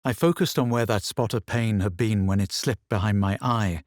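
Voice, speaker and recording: A man playing a self-assured, superior fantasy adventurer, delivering dramatic video game narration and dialogue in a measured, deliberate way. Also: fairly low pitch, no echo, studio quality, close to an everyday voice. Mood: measured, grave. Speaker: player character voice actor in a fantasy video game.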